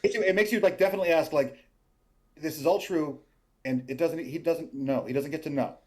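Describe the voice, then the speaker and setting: A man's voice: a little high, fairly quick. A man, a voice actor, chatting casually in a cast commentary with an effusive, rambling way of talking. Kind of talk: casual group commentary discussion.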